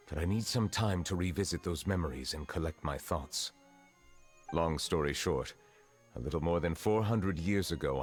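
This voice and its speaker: An actor playing a reserved, centuries-old chief justice in a fantasy video game, speaking in measured, formal phrases. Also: male voice, rumbling low. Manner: measured, formal, stately diction.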